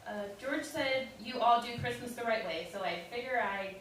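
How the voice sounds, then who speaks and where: In a very reverberant room with faint noise, a woman plays a young guest in a staged reading. Her voice is fairly high, and she speaks patiently and politely, with some hesitation. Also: normal speed, a bit bright, natural voice. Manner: hesitant, courteous. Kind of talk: live staged play reading. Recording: very echoey room, distant mic, hiss.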